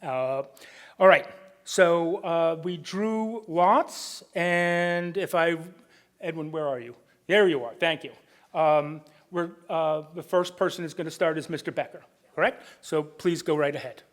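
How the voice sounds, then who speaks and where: A man with a booming voice moderating a town candidates night, talking with many ums and restarts. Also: unhurried, fairly bright tone. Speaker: debate moderator. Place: public meeting room.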